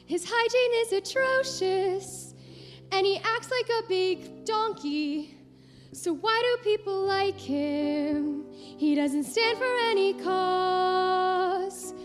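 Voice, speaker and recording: A young woman playing a driven, frustrated high school girl, singing a lament in a musical reading over guitar. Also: slow pace. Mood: bitter, sung. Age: young adult. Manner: sung lament, sharp. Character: driven, bitter teen girl candidate. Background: guitar accompaniment.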